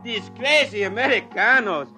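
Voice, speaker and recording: Very high-voiced hotel worker. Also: male voice, normal speed, sharp tone, faint music behind, full character voice. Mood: amazed. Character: superstitious, wide-eyed Mexican hotel worker. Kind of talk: 1950s radio drama acting.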